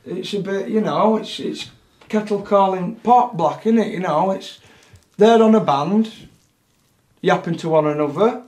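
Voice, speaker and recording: A man with a fairly high voice speaks bluntly and with passion, circling back over his words. He sounds a little off-mic in an echoey room. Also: a little slow, darkish tone. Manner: blunt, passionate, repeats himself. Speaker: CB radio operator. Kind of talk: casual interview.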